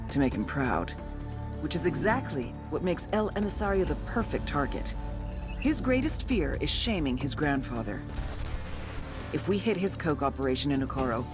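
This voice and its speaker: A woman's voice: low-pitched, very animated, normal speed. A woman playing a cool, calculating intelligence handler with an American accent, giving video game briefings in a dry, commanding way. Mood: crisp briefing. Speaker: briefing officer. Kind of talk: cinematic game briefing narration. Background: music.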